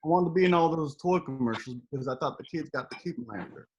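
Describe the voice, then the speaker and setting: A man's voice: a little high, fast, lo-fi sound, a little hiss or hum, lightly acted. A man playing an earnest, loyal actor buddy in a table read of a comedy script.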